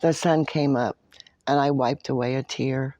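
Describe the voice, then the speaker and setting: A woman reading a picture book in the first person as a smug, grumbling but soft-hearted leprechaun. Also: very deep voice, fairly even intonation, a little slow, no room sound, dull audio. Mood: anxious, sad. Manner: boastful, dramatic storytelling.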